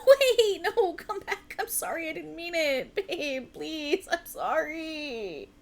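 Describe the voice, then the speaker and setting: A woman's voice: on the high side, slight background noise. A woman playing a tired, passionate writer girlfriend in an intimate ASMR roleplay, rambling earnestly and getting playfully defensive. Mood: playful, teasing.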